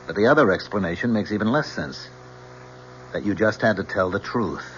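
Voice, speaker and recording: A man's voice: fairly low pitch, lively intonation, fairly quick, a bit bright. An actor playing a bitter, sarcastic young man in an old-time radio drama, pressing and intense in his delivery. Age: young adult. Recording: muffled, noisy old radio drama recording.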